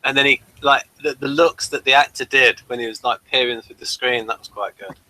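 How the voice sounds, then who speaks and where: A man's voice: medium pitch, brisk, pushed and bright, dry recording, rough recording. A man co-hosting a casual TV review chat, making understated, somewhat hesitant remarks. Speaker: co-host of a TV review show.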